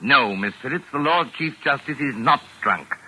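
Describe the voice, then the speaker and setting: An actor playing a pompous, touchy mystery novelist with precise, exasperated formal diction, in 1940s radio drama style. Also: male voice, medium pitch, animated, normal speed, bright, forceful tone, exaggerated voice, low-quality recording. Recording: raspy vintage 1940s radio recording.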